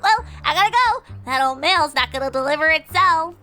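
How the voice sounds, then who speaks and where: Squeaky, klutzy cartoon pegasus mailmare. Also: female voice, expressive, moderate pace, pushed and bright, faint music behind. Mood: cheerful, scatterbrained. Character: klutzy, cheerful, scatterbrained female pegasus mail carrier.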